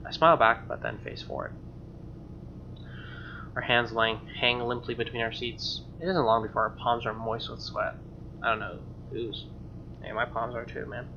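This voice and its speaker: A man's voice: medium pitch, steady tone, moderate pace, long pauses, sharp tone, a little hiss or hum. A man reading a game's narration aloud in a casual playthrough style, with informal, joking asides. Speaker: streamer playing and reading a game. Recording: slightly dull mic, music behind. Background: acoustic guitar game music.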